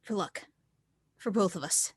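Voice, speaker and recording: A woman's voice: mid-range voice, unhurried, breathy edge. A woman reading the part of an upbeat, encouraging teenage snowboarder in a screenplay table read. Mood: hushed, tender.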